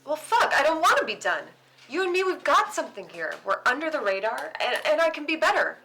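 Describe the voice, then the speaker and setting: A woman's voice: high voice, very animated, fairly quick, background noise. A woman reading the part of a reckless, defiant and clingy woman in a naturalistic script table read. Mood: pleading. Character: Penny, reckless, defiant, clingy wounded woman partner-in-crime.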